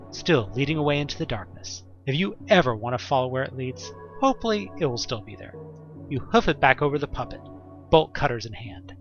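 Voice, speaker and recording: A man's voice: mid-range voice, fairly bright tone, lo-fi sound. A man narrating a second-person horror story in a theatrical, suspenseful way, with wry, casual asides. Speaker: narrator of an audio drama. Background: music.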